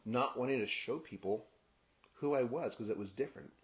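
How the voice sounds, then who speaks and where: A man raised in Kenya, speaking in a halting, reflective personal monologue that often restarts phrases and trails off softly at the ends. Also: mid-range voice, a little hiss or hum. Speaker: podcast host.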